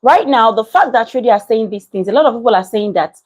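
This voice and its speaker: A woman talks through a casual reaction commentary, leaning hard on her points and going back to them. Her voice sits on the higher side. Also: quick pace. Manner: animated, emphatic, repeats herself. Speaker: commentary vlogger.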